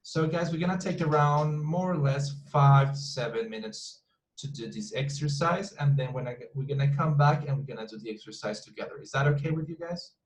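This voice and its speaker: A man's voice: on the high side, brisk, a little hiss or hum, poor audio. A man from Colombia with a Colombian accent, a Spanish speaker teaching an English class over a video call. He often repeats words as he talks and calls the students "guys". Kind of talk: online class lecture. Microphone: computer mic on a video call.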